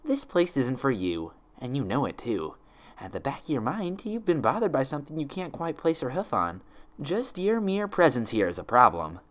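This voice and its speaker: A man voicing a composed, coolly menacing female pony in a storybook, poised and deliberate. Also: medium pitch, big pitch swings, a bit bright, pitched above the performer's own voice. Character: composed, ominous mare doppelganger, coolly menacing.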